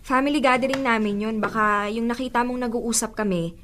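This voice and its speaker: A woman's voice: fairly high pitch, brisk, lightly acted. A woman with a Filipino accent acting a direct, questioning young woman in a radio drama, with faint background noise. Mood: explaining, puzzled. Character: questioning young woman, hurt close friend.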